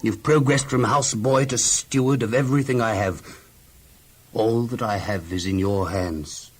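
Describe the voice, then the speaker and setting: An actor playing a wealthy, effusive master of an ancient household in a children's audio Bible drama, speaking expansively with emphatic, halting repetitions. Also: male voice, fairly low pitch, steady tone, husky, faint music behind. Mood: effusive, grateful.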